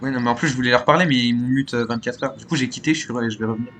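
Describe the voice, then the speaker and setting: A man's voice: mid-range voice, rapid-fire, a bit bright, slight background noise. A man from chatting casually in slangy, sweary as the host of a gaming channel. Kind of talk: casual voice-chat banter about gaming.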